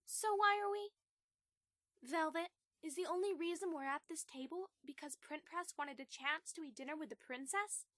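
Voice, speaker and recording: Politely direct cartoon princess pony, female performer; radio play, high crisp pitch, close dry sound. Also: moderate pace, a bit bright, no echo. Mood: suspicious. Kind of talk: fan radio play acting.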